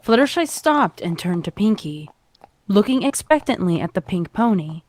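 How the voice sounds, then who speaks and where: A woman's voice: mid-range voice, sing-song, moderate pace, darkish tone, no room sound. A woman reading a scripted story as narrator, with dramatic delivery.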